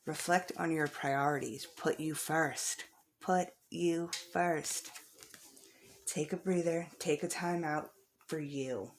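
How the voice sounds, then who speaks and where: A woman's voice: low voice, fairly even intonation, takes it slowly, a little hiss or hum. A woman reading tarot cards casually for the viewer, encouraging and reassuring, repeating phrases for emphasis. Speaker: tarot reader. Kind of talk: casual spiritual card reading, addressed to the viewer.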